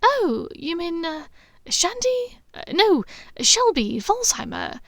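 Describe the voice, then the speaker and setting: A woman voicing a quick-tempered young woman who protests in a raised, lively voice. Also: no room sound, clean studio sound, heavily acted. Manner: high, lively, protesting with a laugh.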